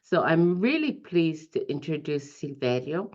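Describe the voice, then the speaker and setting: A woman hosting and introducing an interview, speaking in a polite and measured way. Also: low-pitched, very animated, darkish tone. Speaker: interviewer, executive leadership coach. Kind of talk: interview host. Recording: dull lo-fi audio.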